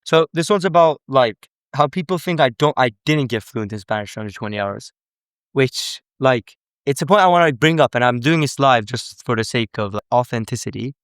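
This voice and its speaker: A man's voice: mid-range voice, moderate pace, a bit bright, clean tone, dry recording, clean studio sound. A young man from Sweden, a language coach, explaining in casual unscripted live commentary, earnest and correcting himself often.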